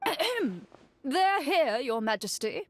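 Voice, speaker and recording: A woman's voice: high voice, very animated, slow, full character voice. A woman voicing a formal, deferential courtier of a fairytale kingdom in a video game. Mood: ceremonious.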